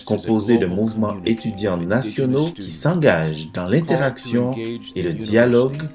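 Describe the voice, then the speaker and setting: A man reading a scripted voice-over narration in a measured, steady way. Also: lowish voice. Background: music.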